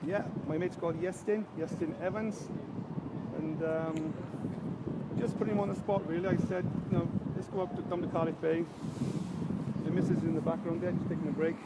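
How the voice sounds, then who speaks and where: A man's voice: fairly high pitch, fairly even intonation, brisk. A middle-aged man with a strong Welsh Valleys accent, chatting on a live stream and greeting viewers by name. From Wales. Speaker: internet marketing coach. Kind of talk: casual live stream chat. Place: outdoors by a bay. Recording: loud outdoor wind and traffic.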